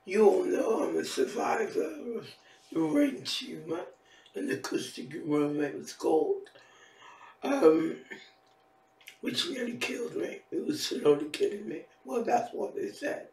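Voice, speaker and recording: A hoarse woman in her fifties with a gravelly, creaky voice, talking slowly and lingering over each phrase. She rambles and repeats herself. Her voice swoops up and down, and it sounds distant in an echoey room. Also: fairly low pitch, animated, darkish tone. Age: 50s. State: hoarse, losing voice. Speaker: vlogger. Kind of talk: casual vlog monologue.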